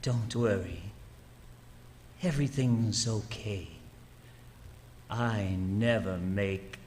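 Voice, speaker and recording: An actor playing a calm, businesslike, cold-blooded hired killer in a radio thriller, speaking in a menacing, matter-of-fact way. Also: male voice, lowish voice, extremely slow. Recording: echoing hall, faint background noise.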